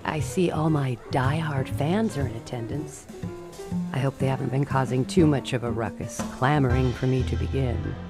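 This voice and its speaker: A woman's voice: very deep voice, normal speed, music in the background. A woman playing a wistful, wry 1950s nightclub torch singer in a radio drama, dry and world-weary. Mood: wry, resigned.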